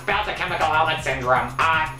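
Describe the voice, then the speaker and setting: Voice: medium pitch, fast, echoey room, recorded from a distance, music in the background, pitched above the performer's own voice, close to an everyday voice. Someone doing an eager, boastful boyish science nerd. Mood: excited. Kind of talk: amateur fan-fiction story reading.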